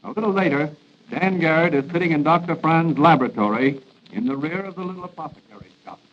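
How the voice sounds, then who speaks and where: An actor as a suspenseful, theatrical announcer narrating a 1940s radio serial. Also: male voice, a little high, fast talker, close to an everyday voice. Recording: muffled, noisy vintage radio recording.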